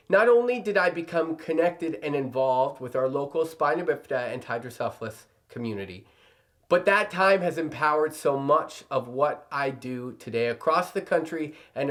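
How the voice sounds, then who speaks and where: A man with a slightly high voice speaks earnestly and briskly in a scripted livestream segment. His delivery is direct and sincere. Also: fairly bright tone.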